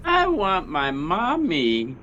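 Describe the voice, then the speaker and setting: A man's voice: high voice, huge pitch swings, slow, bright, forceful tone, dull audio, a little hiss or hum, exaggerated voice. A man acting a drunken, self-pitying, whiny broadcaster in 1940s-style radio comedy.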